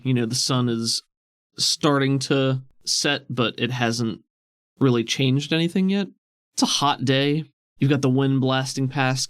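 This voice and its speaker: A game master narrating a tabletop role-playing game in a casual way and bantering with the players. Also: mid-range voice.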